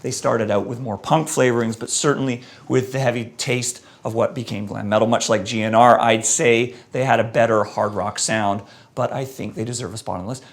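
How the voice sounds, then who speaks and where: Male show host, mid-pitch, gravelly, energetic, a bit hoarse, reads comments on air. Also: brisk. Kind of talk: live-stream debate, reading viewer comments.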